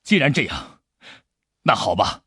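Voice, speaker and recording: A man's voice: medium pitch, sing-song, unhurried, bright, forceful tone, slightly rough, dry recording, clean studio sound, voice pushed down, full character voice. A man voicing a resolute, protective, fatherly lord in an audiobook, speaking emphatically.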